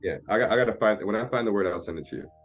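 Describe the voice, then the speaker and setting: A man's voice: lowish voice, very fast, faint music behind. A man in his thirties from Jamaica, talking candidly and confidently about himself in a casual therapy conversation. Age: 30s. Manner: candid, self-assured, open. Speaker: therapy client guest.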